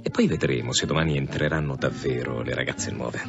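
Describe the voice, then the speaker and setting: An actor playing a world-weary, laconic police commissioner in a radio drama. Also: male voice, extremely low pitch, steady tone, a little fast, vocal fry. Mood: stern, probing. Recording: dull band-limited radio drama with music.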